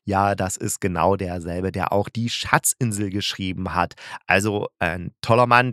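A man's voice: fairly low pitch, fairly bright tone, no room sound, studio quality. A man giving a casual, rambling, opinionated solo review as a podcast host.

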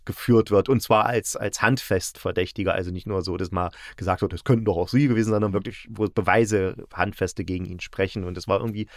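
A man from East Germany hosting a casual podcast about audio dramas, telling things in an animated, colloquial way. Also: deep voice, fast, hardly any pauses, no room sound, clean studio sound. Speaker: podcast host.

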